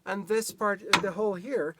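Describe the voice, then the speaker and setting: A Norwegian man with a Norwegian accent, showing a hitch step by step in a halting way, full of fillers, recorded outdoors on a camera mic. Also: high-pitched, fairly even intonation, noisy background. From Norway. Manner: halting, searching for words, many fillers. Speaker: DIY vlogger. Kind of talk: hands-on how-to demonstration. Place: outdoors by a pickup truck bed.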